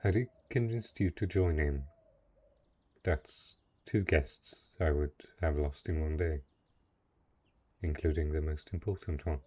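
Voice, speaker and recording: A man playing a lonely, gentle but possessive dark knight in an intimate fantasy audio roleplay, speaking softly and haltingly. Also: very deep voice, husky, exaggerated voice. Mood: quietly possessive.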